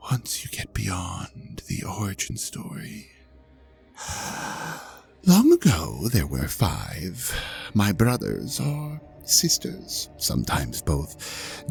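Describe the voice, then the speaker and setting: Slow, drawling ancient god, played by a man. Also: fairly low pitch, creaky, heavily acted. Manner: theatrical, pompous, drawling, mock-courteous. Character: ancient vain male dragon god, suave, hypnotic, menacing host. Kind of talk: scripted audio drama monologue addressed to the listener.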